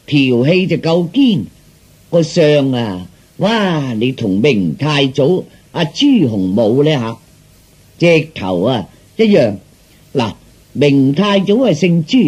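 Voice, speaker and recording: A man voicing a glib, flattering fortune teller in Cantonese, with theatrical sales patter. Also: mid-range voice, breathy edge, full character voice. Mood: effusive flattery. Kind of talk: Cantonese storytelling performance.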